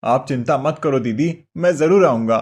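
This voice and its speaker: A man's voice: medium pitch, moderate pace, darkish tone, slightly rough, natural voice. A man voicing a dutiful, affectionate younger brother in a cartoon story, earnest and placating. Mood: reassuring. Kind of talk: Hindi cartoon moral story acting.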